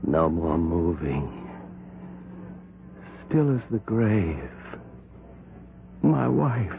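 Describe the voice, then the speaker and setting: A menacing, jealous husband. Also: male voice, low voice, very animated, drawn-out words, vocal fry, noisy background, exaggerated voice. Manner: brooding, quoting verse with menace. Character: jealous actor husband smothering his wife. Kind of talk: 1970s radio drama acting. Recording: tinny old radio broadcast.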